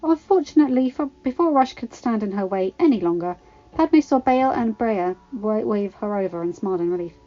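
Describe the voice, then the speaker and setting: A woman's voice: medium pitch, lively intonation, fairly quick, dark tone, lo-fi sound. A woman reading fan fiction aloud as a scripted narration, now and then stumbling over a word. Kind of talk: scripted story narration with character voices.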